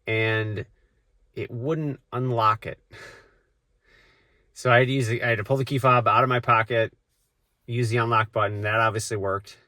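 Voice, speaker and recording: A man's voice: lowish voice, pushed and bright, no room sound, studio quality. A man with a cold, talking casually to the camera as a vlogger, rambling with lots of fillers and restarts. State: has a cold, voice deeper than usual. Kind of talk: casual vlog talk.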